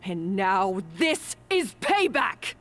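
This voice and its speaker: A woman's voice: medium pitch, sing-song, slow pace, fairly bright tone. An actress playing a bitter, desperate young woman out for payback in a video game, dramatic and anguished. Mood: vengeful despair.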